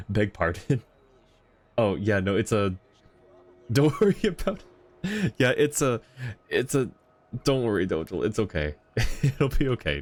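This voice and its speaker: A man's voice: mid-range voice, darkish tone. A man streaming and chatting casually with viewers, laughing often in an easygoing, rambling way.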